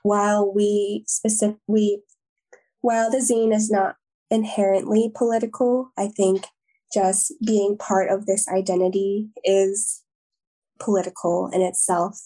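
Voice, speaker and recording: A woman's voice: medium pitch, little pitch movement, unhurried, warm tone, low-quality recording. An American woman speaking articulately and earnestly as a zine founder in a thoughtful interview.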